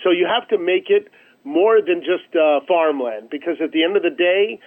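A man's voice: sits high, moderate pace. A man speaking as an executive guest in a radio interview, emphatic and persuasive, over a tinny phone line.

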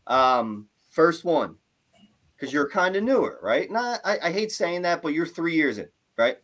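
A man hosting a casual interview chat, talking in an animated, rambling way with lots of "like" and "right?". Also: fairly high pitch, unhurried. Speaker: podcast host.

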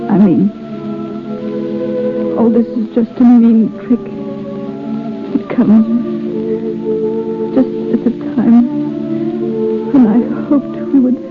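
An actress playing a frail, loving young wife on her deathbed in a 1930s radio drama, speaking haltingly and tenderly. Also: on the high side, fairly even intonation. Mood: fading, wistful. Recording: muffled 1930s radio with music.

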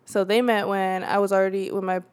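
A woman's voice: medium pitch, little pitch movement, a little fast, a little mellow, clean tone. A young woman from Central California, a guest in a casual conversation, who laughs often and acts out the voices she quotes.

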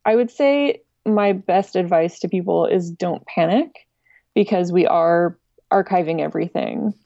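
A woman talks as a guest in a casual interview. Her voice is mellow and relaxed, mid-pitched, with an informal, wry way of explaining things. The sound is close and dry but a little dull and lo-fi. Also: measured pace, creaky, no echo. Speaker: interview guest, director of an online music archive.

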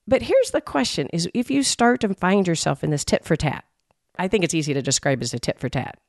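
A woman co-hosting a podcast, with an intense, emphatic voice that rises when she gets animated. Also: fairly low pitch, a little fast, no room sound, studio quality. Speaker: podcast co-host. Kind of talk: conversational podcast discussion.

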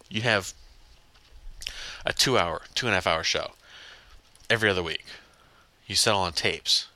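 A young man hosts his own podcast, rambling and cursing bluntly with a stuffed-up, congested nose. His voice sits very low yet comes across sharp and pushy. The sound is dry, close and without room echo. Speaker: podcast host. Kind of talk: casual solo podcast rant.

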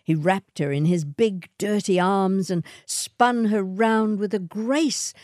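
A woman reading a literary short story in a measured, atmospheric way. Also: mid-range voice, a little mellow, no echo, studio quality.

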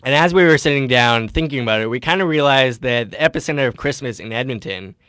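A man's voice: medium pitch, fast talker, a bit bright, clear, resonant tone, dry recording, studio quality. A man chatting casually as a podcast host.

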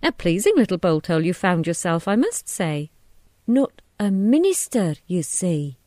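A woman voicing a cool, sardonic adult daughter in an audiobook story, measured and wry. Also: on the low side, big pitch swings, dark tone, no echo, studio quality. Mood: mocking.

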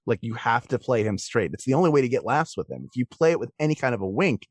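A man's voice: mid-range voice, expressive, fast talker, dry recording. A man talking as a guest in a casual discussion, explaining things and rephrasing as he goes. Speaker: podcast guest, performer.

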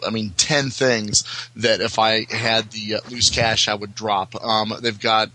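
Bright-voiced man chatting, halting delivery. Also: on the low side, poor audio. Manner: halting, repeats words while searching for them. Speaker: guest on a podcast. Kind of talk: casual chat.

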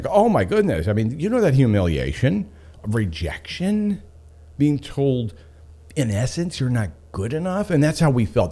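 A man's voice: mid-range voice, warm tone. A man hosting a motivational podcast, telling personal stories and repeating phrases for emphasis. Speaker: podcast host and author. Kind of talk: motivational storytelling monologue.

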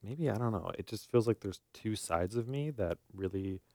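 A man's voice: lowish voice, lively intonation, normal speed, darkish tone, no room sound, studio quality. A man co-hosting a podcast, chatting casually with a wry sense of humor. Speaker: podcast co-host. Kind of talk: casual chat between co-hosts.

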